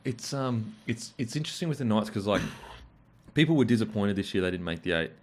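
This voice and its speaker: A man's voice: on the low side, animated, fairly quick, a little hiss or hum. A man with an Australian accent hosting a casual interview in a blokey, slangy way with plenty of swearing. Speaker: podcast host.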